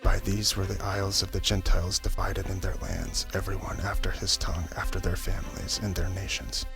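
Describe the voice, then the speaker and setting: A man narrating scripture in an ASMR-style Bible audio drama, with a creaky voice and a halting way with names. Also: flat delivery. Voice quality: low, creaky voice. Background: music.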